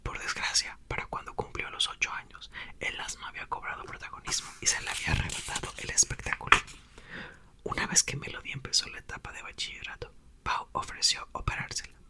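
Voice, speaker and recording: Whispering man reading a book aloud. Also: sharp tone. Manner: unvoiced whisper throughout, slow careful reading; stumbles and corrects himself on words. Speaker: ASMR creator.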